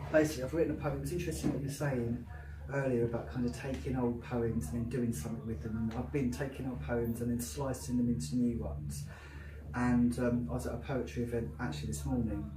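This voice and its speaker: Cockney man reciting poetry at a live event. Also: mid-range voice. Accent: South London Cockney. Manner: rhythmic, emphatic recitation; loose chatty intro full of fillers. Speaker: poet. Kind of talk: live poetry reading with spoken introduction. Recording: roomy, distant, noisy live venue.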